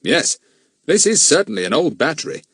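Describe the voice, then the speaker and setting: A man voicing a calm, practical grown man who speaks firmly and reasons things out. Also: on the high side, breathy edge, no echo, clean studio sound, voice pushed up, full character voice. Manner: firm, reasoning, brisk.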